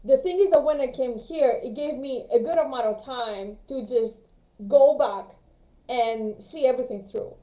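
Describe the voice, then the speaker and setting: A woman's voice: high-pitched, moderate pace, warm tone. A woman from Greece chatting casually about spirituality as a co-host, hesitant, with many fillers and restarts. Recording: echoey room.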